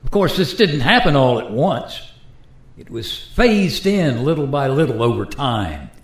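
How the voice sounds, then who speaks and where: In a roomy echo, a man plays a rueful modern everyman in a radio play. He explains himself earnestly and regretfully, his pitch moving freely. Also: sing-song, measured pace.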